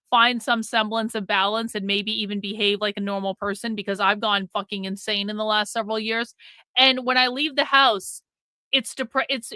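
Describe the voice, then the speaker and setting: A woman with a fairly high, very bright voice talks casually to her livestream chat. She is blunt and swears freely, circling back on her phrases for emphasis. The sound is crisp, clear and dry. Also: no room sound. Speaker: livestream host.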